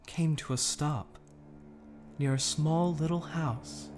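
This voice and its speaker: A man narrating a radio drama like a storybook, with measured, theatrical delivery. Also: a little high, darkish tone.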